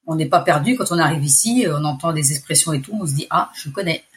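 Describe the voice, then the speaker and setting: A woman in her forties with an accent from France, telling her story in a casual interview, chatty and halting. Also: deep voice. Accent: French from France. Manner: chatty, hesitant, restarts phrases. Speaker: expat interviewee.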